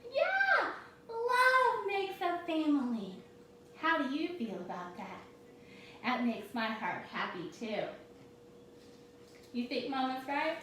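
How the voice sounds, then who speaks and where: High, singsong woman reading in an echoey room. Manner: expressive, addresses children directly, pausing to ask questions. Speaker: teacher reading a storybook to a class. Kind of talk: read-aloud story time for young children with questions to listeners. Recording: very echoey room, distant mic, faint noise.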